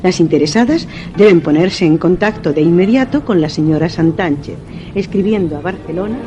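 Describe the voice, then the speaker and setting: An actress playing a formal, exacting lady employer in a radio drama, reading a letter in a measured, courteous way. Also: fairly low pitch, animated, close to an everyday voice. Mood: formal, businesslike.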